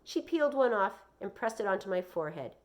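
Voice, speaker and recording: A woman's voice: medium pitch, sing-song, fairly quick. A woman reading a children's novel aloud as narrator, with brief explanatory asides.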